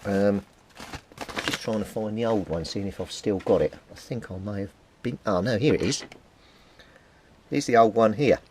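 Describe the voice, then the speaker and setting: A man's voice: on the low side, normal speed, pauses often, darkish tone, slight background noise. A middle-aged British man giving a casual hands-on demonstration, informally thinking aloud as he works at a bench. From Britain. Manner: informal, thinking aloud while handling equipment. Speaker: hobbyist radio repairer presenting a video. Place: indoors at a cluttered workbench.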